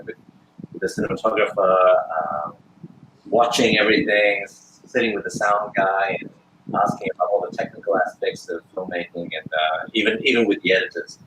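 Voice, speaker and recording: A man, answering interview questions thoughtfully with frequent pauses and restarts. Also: deep voice, fairly bright tone, roomy sound, slight background noise, poor audio. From Australia. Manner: thoughtful, hesitant, many fillers and restarts. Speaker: guest actor and filmmaker.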